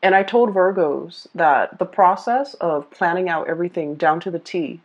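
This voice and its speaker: A woman tarot reader speaking casually and off the cuff to viewers, often pausing and repeating herself as she searches for words. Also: fairly low pitch, lo-fi sound. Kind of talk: casual, improvised tarot reading addressed to viewers.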